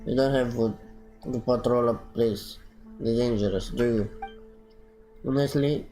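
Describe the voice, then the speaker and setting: A man streaming a game reads its dialogue aloud in a slow, mellow voice. He halts and repeats phrases, with faint game music behind him. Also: mid-range voice, low-quality recording. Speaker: gaming streamer. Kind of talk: reading game dialogue aloud during a playthrough.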